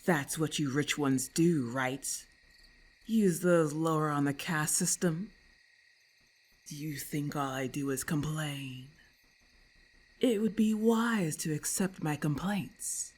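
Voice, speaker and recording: A woman's voice: sits low, slow, a little mellow, husky, full character voice. A woman voicing a haughty, irritable lamia in an ASMR roleplay, with hissing, imperious and formal speech, scornful and menacing. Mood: irritated, threatening.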